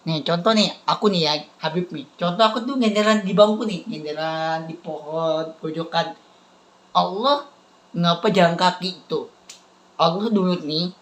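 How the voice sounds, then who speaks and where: A man, talking casually to viewers in a halting, repetitive way that keeps circling back to the same phrases. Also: high-pitched. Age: 30s. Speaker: vlogger. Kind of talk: casual rambling talk to viewers.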